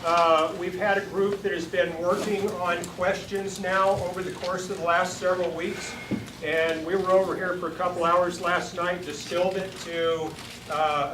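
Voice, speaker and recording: A woman with a deep voice, chatting informally in a folksy way as she moderates a public forum. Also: level tone, normal speed. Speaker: forum moderator and party chair. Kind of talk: informal public opening remarks. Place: meeting room at a party headquarters. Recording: echoey room, distant mic, clear noise.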